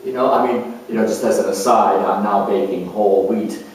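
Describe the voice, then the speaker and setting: A man playing an earnest, nurturing parent turned spiritual counselor in a dramatic monologue, speaking in halting, reflective phrases. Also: lowish voice, steady tone, a little mellow, close to an everyday voice. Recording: very echoey room, distant microphone.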